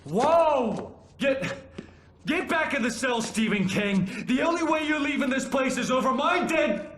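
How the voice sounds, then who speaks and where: An actor playing a belligerent, sneering, drunken federal agent in a video game. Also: male voice, extremely high pitch, animated, unhurried, a bit bright, reverberant, heavily acted. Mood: menacing, mocking. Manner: sneering, mocking, aggressive.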